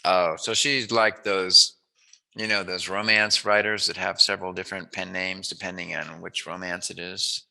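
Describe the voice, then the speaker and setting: A man's voice: low-pitched, little pitch movement, moderate pace, vocal fry, bright, forceful tone, rough recording. A man hosting a casual chat interview, talking in a playful, jokey, musing way. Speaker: interviewer, host.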